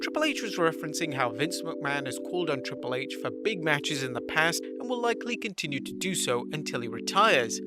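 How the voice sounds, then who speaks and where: A man's voice: a little high, very animated, fairly quick, few pauses. A man narrating scripted wrestling news with casual asides. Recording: voice-over with loud background music.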